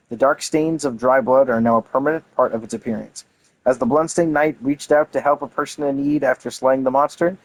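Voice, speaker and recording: A man's voice: mid-range voice, no room sound, poor audio. A man reading game lore aloud and commenting casually and enthusiastically, with some casual swearing. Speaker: gaming content creator.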